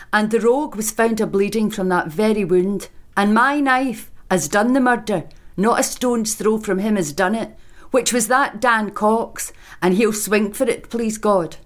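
A fretful, self-pitying landlady of an old country inn, put on by a male reader, pitched far above his own voice. She wails her troubles in old-fashioned country speech, piling complaint on complaint. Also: unhurried. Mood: aggrieved.

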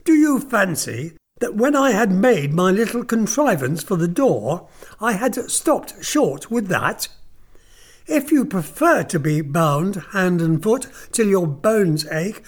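Male narrator voicing a sneering elderly lord; high, slow, wide-ranging delivery. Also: sing-song, exaggerated voice. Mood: sly. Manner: leering, drawling, sudden harsh bursts. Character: sly mocking old nobleman, uncle, lame.

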